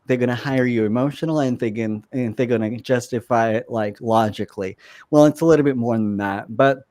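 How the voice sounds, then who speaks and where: A man's voice: mid-range voice, a little fast, darkish tone. Russian-born man, casual podcast guest. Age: 30s. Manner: conversational, many fillers and restarts. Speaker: career and business coach. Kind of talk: podcast guest telling his story.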